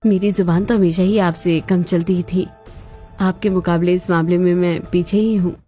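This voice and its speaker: A woman's voice: fairly low pitch, fairly even intonation, moderate pace, warm tone, soft background music, close to an everyday voice. A woman voicing a proud, outspoken young daughter-in-law in a cartoon family drama, speaking pointedly. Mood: defiant. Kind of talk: Hindi cartoon moral-story voice acting.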